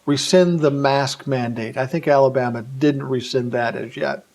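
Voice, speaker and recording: A man's voice: mid-range voice, steady tone, moderate pace, gravelly, a little mellow. An American man delivering an opinionated political monologue in blunt, colloquial terms, posing rhetorical questions and answering them himself. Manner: blunt, colloquial, emphatic phrasing. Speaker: political commentary vlogger.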